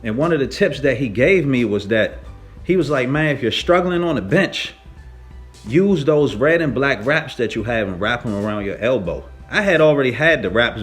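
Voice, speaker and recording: Animated man telling a casual gym story. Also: mid-range voice. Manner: animated, slangy, emphatic, profane. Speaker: fitness vlogger, powerlifter.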